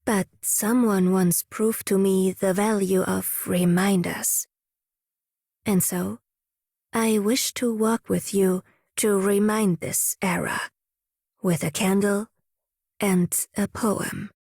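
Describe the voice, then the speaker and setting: Solemn game poetess, refined, lyrical, deliberate, slow, vocal fry. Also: female voice, mid-range voice, fairly even intonation, a little mellow, dry recording, studio quality. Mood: wistful, solemn. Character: solemn, refined female poet. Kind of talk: video game character voice acting.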